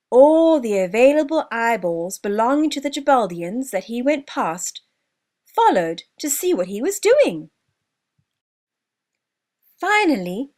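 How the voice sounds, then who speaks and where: A woman reads a children's story in a high voice at a slow, measured pace. Her intonation swings up and down expressively, and she places deliberate emphasis on key words. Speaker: author narrating own children's story. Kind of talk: scripted children's story narration.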